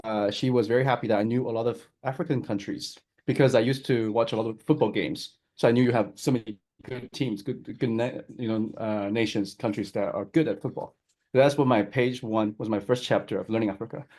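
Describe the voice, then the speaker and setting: A man's voice: medium pitch, fairly even intonation, a little fast, a little mellow. A Chinese man speaking as a guest on a video call, friendly and hesitant, often restarting his sentences. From China. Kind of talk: casual remarks on a video call.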